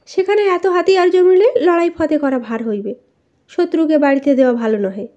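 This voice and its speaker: A woman's voice: high voice, normal speed, mellow, lightly acted. A woman reading a bold, teasing palace maidservant in an audiobook, glib and matter-of-fact. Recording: dull, lo-fi voice recording.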